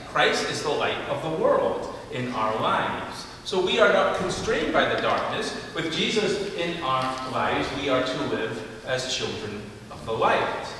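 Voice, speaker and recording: A man's voice: on the high side, slight background noise. A man in his forties preaching from a pulpit in a large church sanctuary, reading scripture and telling a story before his sermon. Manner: measured, reads scripture then tells a story with animated character voices. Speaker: pastor. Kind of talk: sermon with a told joke. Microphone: pulpit mic, camera at the back. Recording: echoey church hall from far off.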